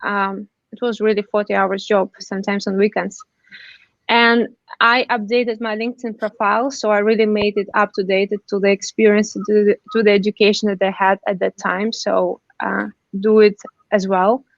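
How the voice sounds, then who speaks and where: A woman presenting job-search tips on a webinar, earnest and halting, with many restarts and fillers. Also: medium pitch, little pitch movement, dry recording. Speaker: webinar panelist. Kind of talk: slide presentation. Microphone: computer mic on a video call. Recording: dull dry video call audio.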